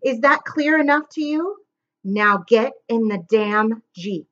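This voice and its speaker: A woman's voice: high voice, expressive, bright, forceful tone, dull audio, exaggerated voice. Woman doing a sneering male villain. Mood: menacing. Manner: mocking, menacing, drawn-out words.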